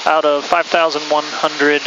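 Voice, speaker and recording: A man's voice: fairly high pitch, flat delivery, unhurried, highs cut off. American student pilot, man, noisy cockpit. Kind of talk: aviation radio calls and casual cockpit commentary. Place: inside small propeller plane cockpit in flight. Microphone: aviation headset. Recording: loud engine drone, noisy cockpit headset audio. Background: aircraft engine noise.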